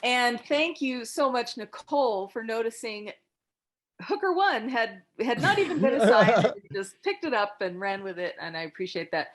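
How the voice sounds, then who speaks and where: A woman hosting a table read, giving informal, tactful feedback to the group in a halting, encouraging way. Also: on the high side, very animated, crowd noise.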